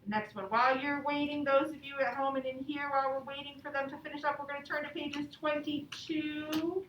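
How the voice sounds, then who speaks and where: Female primary teacher, high register, instructional repetitive phrasing, reverberant classroom sound with faint noise. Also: fairly even intonation, fairly quick, dull audio. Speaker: elementary school teacher. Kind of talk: classroom lesson with read-aloud and questions to children. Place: indoor classroom. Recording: echoey classroom, distant mic, background noise.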